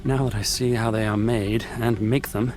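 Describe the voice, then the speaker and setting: An actor playing a bewildered, frightened captive worker, muttering haltingly to himself in an audio drama monologue. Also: male voice, lowish voice, fairly even intonation, noisy background, close to an everyday voice. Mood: savouring. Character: frightened, bewildered captive pie-shop worker puzzling aloud.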